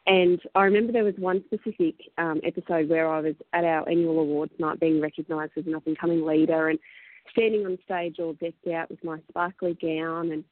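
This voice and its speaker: A woman in her thirties or forties from Australia, speaking in a husky late-night voice as a radio guest and telling her story in a measured way. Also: deep voice, fairly even intonation. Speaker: guest, women's mentor. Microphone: phone line. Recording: tinny phone-line audio.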